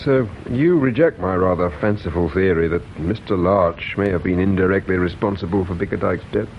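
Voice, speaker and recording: An actor playing a courteous, probing English police inspector in a radio mystery drama, measured and dryly insistent. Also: male voice. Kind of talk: British radio drama acting. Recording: dull radio recording with low rumble noise.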